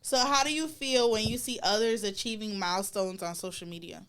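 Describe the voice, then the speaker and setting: A woman's voice: mid-range voice, fairly even intonation, a little fast, a bit bright, clear, resonant tone. A woman with a Bahamian-influenced American accent, chatting casually and candidly as a podcast co-host, using plenty of slang. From United States. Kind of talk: casual chat.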